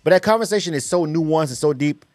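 Slangy middle-aged radio host. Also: male voice, on the high side, quick pace, a little mellow, dry recording. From Florida, US. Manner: loose, slangy, rambling with frequent "you know what I'm saying". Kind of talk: casual radio interview chat.

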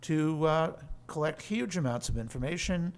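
A man who is a law professor and center director, explaining in a measured, articulate way on a podcast. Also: fairly high pitch, lively intonation. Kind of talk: podcast interview.

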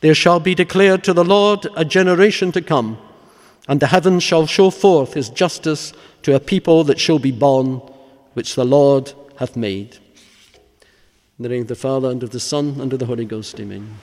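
A man solemnly reciting psalms, litanies and prayers in a measured, reverent, formal way as a prayer leader. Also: mid-range voice. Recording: long echoing church-like reverb.

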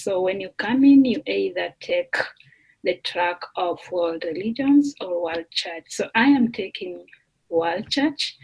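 A woman from Kenya, a doctoral student, talking slowly and thoughtfully with fillers as a guest on a remote podcast interview. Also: medium pitch, slightly rough, poor audio. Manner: slow, thoughtful, with fillers and restarts. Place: remote call.

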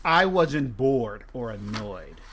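A man's voice: mid-range voice, big pitch swings, slow, noisy background. Male podcast host, casual and profane, rambles through stories. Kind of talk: casual chat.

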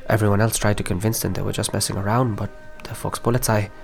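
A guarded young East German dissident acted by a man in a radio drama, low in pitch with heavy creak. He is earnest and bitter, speaking with halting intensity over music. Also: flat delivery. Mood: grim, grieving.

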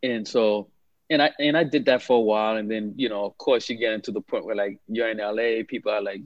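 A man's voice: on the low side, fairly even intonation, fairly quick, dry recording, lo-fi sound. A man in his fifties, originally from Haiti, telling his life story as an interview guest in an easygoing way. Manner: easygoing, repeats words as he talks. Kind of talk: relaxed storytelling in conversation.

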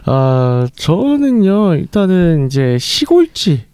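Male host for a chatty podcast, meandering and filler-heavy, with a swinging, slightly high voice and a dry sound. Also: big pitch swings, a little mellow, smooth, no room sound. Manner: reflective, drawn-out talk with many fillers. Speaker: podcast host and script writer. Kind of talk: casual chat.

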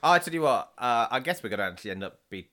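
A man's voice: medium pitch, very animated, fast, bright, forceful tone. A man co-hosting a casual podcast, chatty and teasing his friends. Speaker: podcast co-host. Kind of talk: casual chat.

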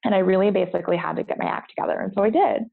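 American woman, creaky and slightly husky. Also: medium pitch, very fast, phone-quality sound. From United States. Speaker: podcast guest. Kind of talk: candid personal storytelling in an interview. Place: at home, remote podcast call.

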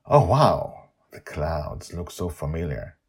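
A man voicing an excitable eleven-year-old adventurous girl in a story, exclaiming with eager wonder. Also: low voice, slow pace, breathy edge, full character voice. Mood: awed.

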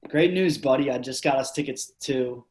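An actor playing an excited, confident young best friend in a play reading. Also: male voice, mid-range voice, normal speed, natural voice.

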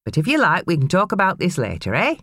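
A woman voicing a calm father who soothes his son. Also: on the low side, dry recording, clean studio sound, voice pushed up, full character voice. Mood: soothing. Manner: soothing, measured.

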